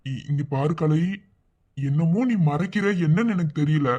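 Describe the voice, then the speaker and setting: A man's voice: a little high, normal speed, warm tone, lo-fi sound, lightly acted. A man voicing an anxious, caring young husband who questions and soothes his wife. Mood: worried. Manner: anxious, coaxing. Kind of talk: audio drama acting.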